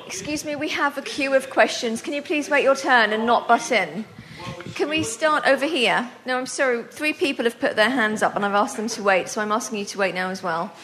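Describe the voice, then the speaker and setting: A woman moderating a conference panel, firm and brisk as she keeps order among questioners. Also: fairly high pitch, a bit bright.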